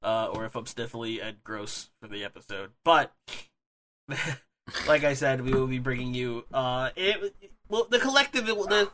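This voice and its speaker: A man hosting a casual podcast while sick with a cold, sniffly and with a scratchy throat, talking energetically with many fillers. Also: medium pitch. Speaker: podcast host. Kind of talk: casual wrestling podcast chat.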